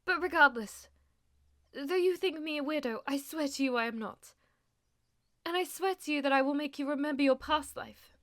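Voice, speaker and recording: Schoolgirl, played by a woman for audio roleplay: high-pitched, theatrical, self-styled dark lord's aide, pompous wording, prone to stammering. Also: moderate pace, lightly acted. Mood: fervent, pleading. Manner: theatrical, grandiose formal phrasing with flustered stammering. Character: melodramatic, delusional schoolgirl who fancies herself a demon lord's aide. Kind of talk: ASMR audio roleplay acting.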